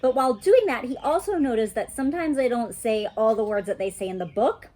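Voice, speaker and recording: A woman who works as a teacher, talking and reading in an expressive, child-friendly storytime manner. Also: on the high side, fairly quick. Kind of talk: read-aloud storytime with casual chat.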